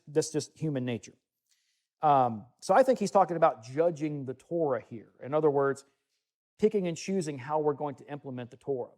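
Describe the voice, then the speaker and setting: A man teaches to a gathered group in a room, his voice fairly high for a man yet soft and mellow in tone. He reads passages aloud and talks to the room in an easygoing, conversational way, with asides and restarts along the way. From United States. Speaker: Bible teacher. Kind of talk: teaching sermon with scripture reading. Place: meeting room with listeners.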